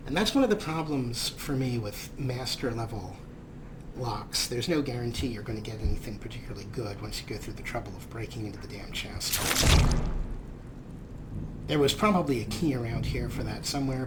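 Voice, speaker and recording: Male let's play host, mid-range voice, casual commentary over clearly audible game ambience. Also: medium pitch, animated, fairly quick. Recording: noisy, game sound behind voice. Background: video game ambience.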